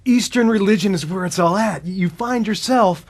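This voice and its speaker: An actor playing a mellow male hippie turned spiritual seeker, halting and slangy, in a radio drama. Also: high voice. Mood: sincere, blissful.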